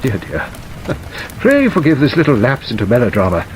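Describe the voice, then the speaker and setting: An elderly lawyer played by a male audiobook reader declaims with worldly sentiment. His pitch rises and falls widely, with melodramatic sighs and pauses. A faint wash of rain sits over the recording. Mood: dry, worldly. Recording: steady rain noise over narration.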